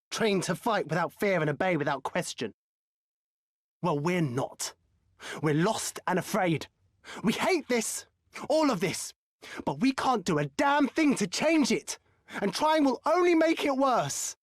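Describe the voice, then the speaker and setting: An actress playing a bitter, frightened and downtrodden young villager, blunt and emotional, in a video game cutscene. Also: female voice, mid-range voice, dry recording, clean studio sound. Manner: blunt, emotional, plain-spoken.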